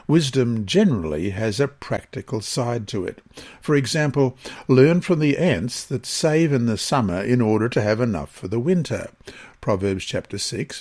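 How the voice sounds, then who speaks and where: A man reading a scripted religious lesson and scripture passages in a measured, formal, expressive manner. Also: medium pitch. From Australia. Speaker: Bible lesson reader.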